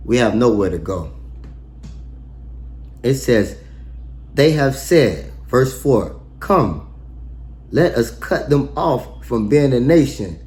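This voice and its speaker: A man's voice: mid-range voice, darkish tone. A man reading scripture verse by verse and explaining it in casual, slangy, emphatic talk with rhetorical questions. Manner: asks rhetorical questions and pauses for effect. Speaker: online bible teacher. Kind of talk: casual verse-by-verse bible breakdown.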